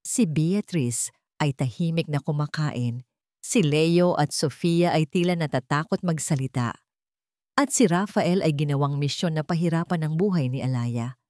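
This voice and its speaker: A woman's voice: deep voice, moderate pace, darkish tone, no echo, studio quality. A woman reading a scripted romantic drama story as its narrator.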